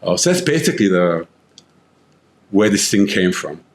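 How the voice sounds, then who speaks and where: A man's voice: lowish voice, very animated, normal speed. A middle-aged man from London with an Israeli-tinged English accent, a guest on a podcast interview, telling stories with lots of fillers and restarts. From London, England. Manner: rambling storyteller, many fillers and restarts. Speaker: author and tech founder, guest.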